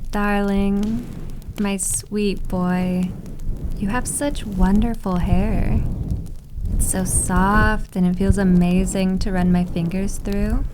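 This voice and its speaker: A woman's voice: mid-range voice, fairly even intonation, slow pace, close to an everyday voice. Woman acting a cooing, possessive yandere maid, noisy background. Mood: doting, teasing. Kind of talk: roleplay ASMR acting.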